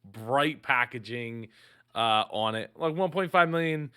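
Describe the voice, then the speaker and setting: A man's voice: medium pitch, pushed and bright, clean tone. A man hosting a casual reaction show, talking with enthusiasm, with lots of fillers and restarts. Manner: halting, many fillers and restarts; upbeat and enthusiastic. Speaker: business reaction host.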